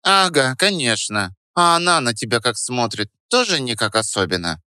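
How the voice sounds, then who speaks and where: Storybook rat sidekick, played by a man: squeaky, snide, preachy, lively swooping delivery, very dry studio sound. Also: normal speed, no room sound. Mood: snide teasing. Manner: snide, mock-grand teasing. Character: sarcastic know-it-all male rat, teasing philosopher sidekick. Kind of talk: audiobook character voice.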